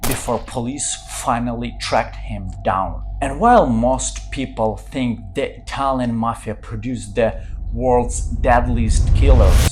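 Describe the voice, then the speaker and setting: A man's voice: mid-range voice, slow pace, over music. A man from Ukraine, hosting his channel and telling true-crime stories dramatically in English. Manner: dramatic storytelling in non-native English. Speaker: channel host.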